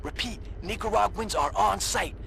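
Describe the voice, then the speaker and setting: An actor playing a tense special forces soldier reporting in terse radio phrases for a video game. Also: male voice, high voice, normal speed, creaky, a bit bright, a little hiss or hum, exaggerated voice. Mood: hushed, focused. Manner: terse military radio talk.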